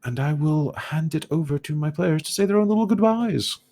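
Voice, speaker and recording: A man's voice: on the high side, lively intonation, a little fast, dark tone. A man hosting a tabletop game podcast as game master, chatting casually and informally. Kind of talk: casual post-game chat and sign-off.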